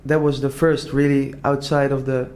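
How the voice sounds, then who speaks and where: A man's voice: mid-range voice, flat delivery, normal speed, darkish tone. A young man from the Netherlands talking casually and earnestly in an interview, full of "like" fillers and restarts. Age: young adult. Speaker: entrepreneur guest.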